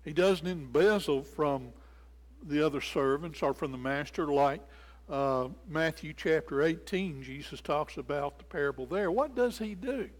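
Older male preacher, slightly high voice, explanatory sermon delivery, long church reverb. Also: unhurried. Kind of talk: sermon, expository Bible teaching. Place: indoors in a church sanctuary at a lectern.

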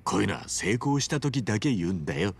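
An actor playing a rough, earnest, big-hearted hero in his forties, speaking bluntly in casual street Japanese in a video game cutscene. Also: lowish voice, very animated, fast talker, heavily acted. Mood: easygoing.

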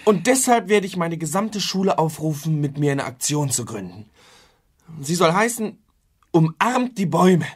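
Earnest, husky young activist. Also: male voice, a little high, big pitch swings, moderate pace. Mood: urgent, determined. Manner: urgent, idealistic. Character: earnest, indignant young male activist rallying his school. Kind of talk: German radio play acting.

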